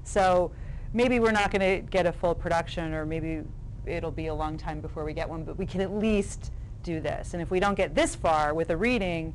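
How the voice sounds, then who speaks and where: A woman's voice: on the low side, normal speed, poor audio. A woman speaking conversationally as a playwright guest in an interview. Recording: faint background room noise.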